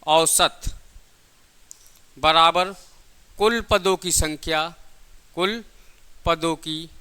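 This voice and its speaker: A male teacher with a high, very bright, forceful voice that rings clear. He lectures step by step, often repeating himself. He poses questions to the students and then answers them himself. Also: drawn-out words. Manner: didactic, repeats phrases and asks rhetorical questions he answers himself. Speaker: physics teacher for exam preparation.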